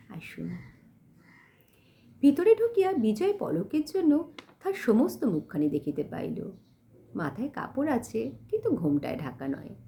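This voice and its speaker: A woman reading a literary story as an audiobook narrator, in an expressive, dramatic manner. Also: on the high side, gravelly.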